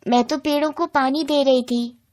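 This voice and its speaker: A woman's voice: high voice, fairly even intonation, normal speed, darkish tone, pitched above the performer's own voice, lightly acted. A woman voicing a poor, earnest young fruit grower with a pleading manner in a moral bedtime story. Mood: apologetic. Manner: pleading, plaintive.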